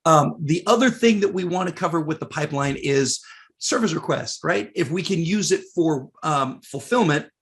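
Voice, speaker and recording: A man with a raspy voice, losing it and clearing his throat, casually presenting a live software webinar with many fillers. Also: fairly high pitch, animated, normal speed. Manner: casual, many fillers, reads out viewer questions.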